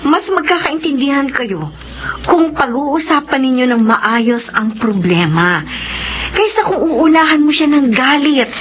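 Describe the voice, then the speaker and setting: Motherly, animated Filipina migrant adviser. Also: sits high, a little slow. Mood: earnest, soothing. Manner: gentle, earnest counselling. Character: experienced older migrant woman, calm, motherly adviser. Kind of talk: Filipino radio drama acting. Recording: slightly dull sound, faint noise.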